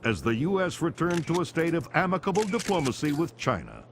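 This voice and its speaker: A man's voice: fairly high pitch, wildly swooping pitch, a little fast. A man playing a television news anchor in a video game, reading crisis reports formally and with emphasis. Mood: grave, formal. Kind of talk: scripted news broadcast. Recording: music behind.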